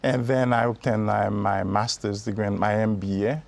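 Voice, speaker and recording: A man's voice: on the low side, fairly even intonation, normal speed, vocal fry, no room sound. A man from Haiti, a college instructor, answering as an interview guest. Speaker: business administration instructor and guest. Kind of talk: interview answers about own background.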